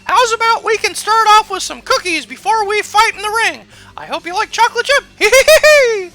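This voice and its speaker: A woman puts on a childish, naive cartoon teddy bear boy. The voice is tiny and very high, lively in its swings, cheerful and innocent throughout. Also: a little fast, heavily acted. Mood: gleeful. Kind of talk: cartoon character voice.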